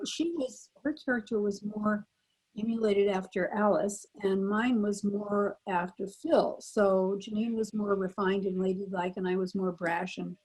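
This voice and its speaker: A woman's voice: medium pitch, husky, poor audio. An older woman with casual delivery, reminiscing as a guest on a panel. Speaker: panel guest, former child radio actress.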